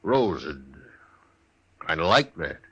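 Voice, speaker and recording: A man's voice: deep voice, sing-song, fairly bright tone, slightly rough, muffled recording, exaggerated voice. An actor playing a big, plain-spoken American mountain man, a protective husband, in a western radio drama. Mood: guarded, weary. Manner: plain-spoken, folksy, deliberate.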